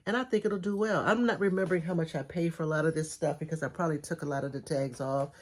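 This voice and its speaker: A woman's voice: deep voice, quick pace. Congested, chatty middle-aged woman. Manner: chatty, rambling, enthusiastic. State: congested, raspy voice. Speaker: thrift reseller vlogger. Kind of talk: casual haul video chat.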